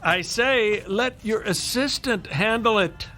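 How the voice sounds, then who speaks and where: A sardonic, long-suffering older physician for radio drama. His voice is high for a man, and his phrasing is eloquent and drily patient, with faint music underneath. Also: slow, bright, forceful tone, exaggerated voice. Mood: wry, firm. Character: wry, urbane, long-suffering older male doctor.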